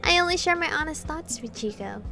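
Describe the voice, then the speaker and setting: A woman voicing an aloof, sardonic male vampire with a measured, formal, mocking manner, read from a video game. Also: sits high, animated, bright, forceful tone, soft background music, pitched above the performer's own voice, exaggerated voice. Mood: mock thoughtful.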